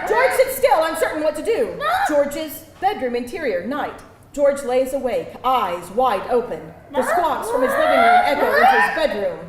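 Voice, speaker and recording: High, animated woman narrating a screenplay. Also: normal speed, crowd noise. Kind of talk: scripted narration of stage directions at a live table read. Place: live event venue with audience. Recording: roomy live venue, faint background noise.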